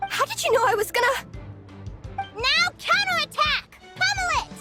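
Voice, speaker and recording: Very high, perky girl. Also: animated, moderate pace, sharp tone, faint music behind, full character voice. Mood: excited. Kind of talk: cute animated video game voice acting.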